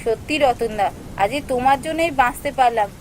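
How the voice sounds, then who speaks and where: Woman acting an anxious, high-voiced young woman. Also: a little fast, a little hiss or hum, natural voice, rough recording. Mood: startled, concerned. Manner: expressive, emotional storytelling. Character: anxious young female office worker Soumi, telling her ghost encounter. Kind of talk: dramatic scripted story narration and dialogue.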